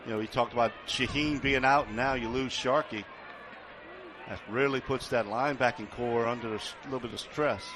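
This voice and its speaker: A man's voice: mid-range voice, steady tone, fairly quick, background noise. A man giving conversational live football analysis as a radio color commentator at a stadium.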